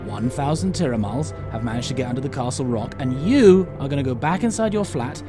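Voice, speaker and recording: A man's voice: medium pitch, animated, brisk, music in the background, close to an everyday voice. A man playing an eccentric, flippant alien time traveller in an amateur audio drama, with a playful, muttering manner. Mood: firm, grave.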